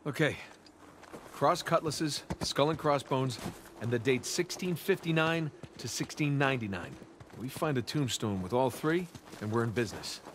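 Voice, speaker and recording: A man's voice: mid-range voice, animated, normal speed, natural voice. A man playing a wry, understated, adventurous American treasure hunter in a naturalistic video game performance. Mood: focused, wry. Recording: game ambience noise behind.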